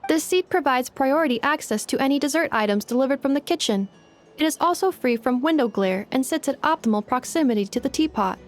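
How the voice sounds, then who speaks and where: A woman's voice: sits high, animated, fairly quick. An actress playing a polite, literal-minded female robot assistant in a video game, speaking in a formal, precise, composed way. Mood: composed, earnest. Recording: music under the voice.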